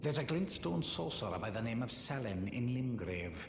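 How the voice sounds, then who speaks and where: A man's voice: mid-range voice, very animated, normal speed. A man voicing a spiteful, scheming sorcerer in a video game, pompous and condescending. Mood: sneering, grudging. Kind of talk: video game character dialogue. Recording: echoing hall reverb, noisy game capture.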